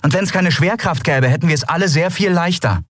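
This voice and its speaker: A man's voice: medium pitch, animated, normal speed, dry recording, studio quality, pitched above the performer's own voice, natural voice. A man voicing a spirited, witty young female ship captain in an audiobook, sassy and sweetly sarcastic. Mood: snide. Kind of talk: audiobook character voice.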